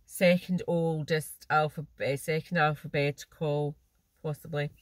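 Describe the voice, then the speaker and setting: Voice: medium pitch, flat delivery, a little slow, no room sound. A cross-stitch vlogger with a naturally nasal voice and a slightly blocked nose, chatting casually and rambling to the camera from a parked car. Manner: chatty, rambling, with false starts and small laughs.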